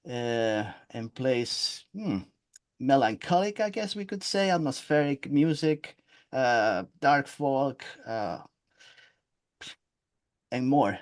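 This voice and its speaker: A man's voice: mid-range voice, no echo, clean studio sound. Hesitant male interview host. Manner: hesitant, many fillers. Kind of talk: casual interview.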